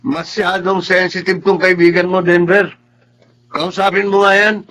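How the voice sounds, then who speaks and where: Casting: Filipino male office employee, higher-pitched voice, emotional radio-drama delivery. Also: little pitch movement, unhurried, fairly bright tone, slight background noise. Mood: smug. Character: boastful male office worker, later shaken and remorseful.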